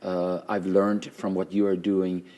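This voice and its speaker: A man with a very low, loud voice, a pastor well into middle age. He talks with fervent conviction, leaning on his words and repeating them to drive a point home. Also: little pitch movement, measured pace. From Canada. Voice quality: loud voice by nature. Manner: fervent, emphatic, repeats phrases for stress. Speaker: pastor hosting a conversation. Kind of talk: earnest conversational interview.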